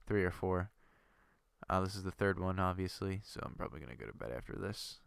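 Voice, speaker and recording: A man's voice: sits low, animated, fairly quick, vocal fry, clear, resonant tone, dry recording. Stuffy-nosed young man rambling over gameplay. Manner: rambling, thinking aloud with many fillers. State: stuffy nose from a cold. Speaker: gaming video creator. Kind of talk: casual gameplay commentary.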